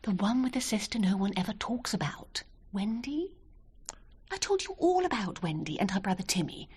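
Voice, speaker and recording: An actress playing a brisk, proper, bossy wife in a radio drama, with a husky voice and scolding manner. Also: female voice, mid-range voice, lively intonation, a little mellow. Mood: brisk, proud.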